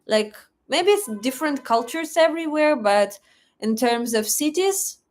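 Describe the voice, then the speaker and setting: A young Russian-accented woman hosting a casual live stream, reading out viewers' questions and answering them one by one. Her voice sits a little high and she talks in an unhurried, easy way, stopping often to thank her viewers. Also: animated. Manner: chatty, reads chat questions aloud and thanks donors. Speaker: livestream host.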